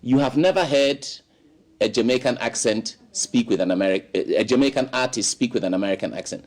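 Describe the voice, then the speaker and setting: A man's voice: medium pitch, fairly quick. A man from Ghana, a guest in a studio interview, telling long stories in an expansive way full of "you know"s. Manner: expansive, animated storytelling with many "you know"s.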